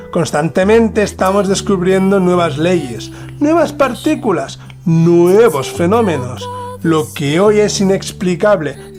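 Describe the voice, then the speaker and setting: A man from narrates a scripted mystery documentary in a solemn, dramatic manner with deliberate pauses. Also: high-pitched, a little mellow. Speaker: podcast host narrator.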